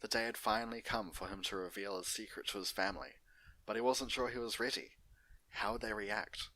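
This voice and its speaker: A man with a low voice and a bright, forceful edge reads a story aloud. He works as both narrator and host, presenting fan fiction in an unedited take. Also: steady tone, brisk, poor audio.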